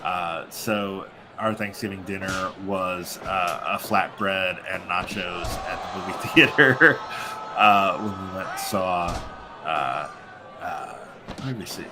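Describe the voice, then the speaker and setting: A low-voiced male wrestling commentator, stuffy and sleepy, who rambles with fillers and then bursts into hype. Music plays clearly behind him throughout. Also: slow pace. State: congested and sleepy. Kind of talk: live play-by-play commentary.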